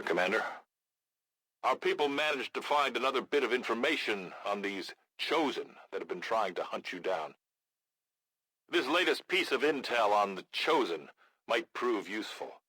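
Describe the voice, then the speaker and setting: An actor playing a gruff, blunt, battle-hardened resistance leader in a video game, with a gravelly voice and a measured, grave manner. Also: male voice, lowish voice, pushed and bright, dry recording, heavily acted, poor audio. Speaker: military faction commander in a video game. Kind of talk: scripted video game voice acting.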